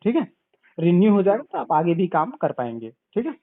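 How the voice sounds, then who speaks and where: High-pitched man on tinny phone. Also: big pitch swings, a little fast, dry recording. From Bihar, India. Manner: didactic, repeats points and asks check-back questions. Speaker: sales consultant. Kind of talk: telephone sales pitch. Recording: narrow, tinny phone call recording.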